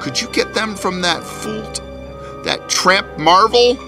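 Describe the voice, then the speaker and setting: A man's voice: sits high, measured pace, over music. A man playing an arrogant invisible scientist in a cartoon, telling his own story in a theatrical, boastful, scornful way. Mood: urgent, pleading. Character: arrogant invisible male scientist, gloating and restless. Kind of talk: dramatic first-person storytelling in a cartoon.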